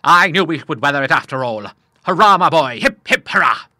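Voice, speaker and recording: Booming old admiral, jovial, lively swings, bright dry voice, a man reading it. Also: brisk, no room sound, full character voice. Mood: hearty. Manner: hearty, loud, booming. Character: jovial old retired male naval admiral.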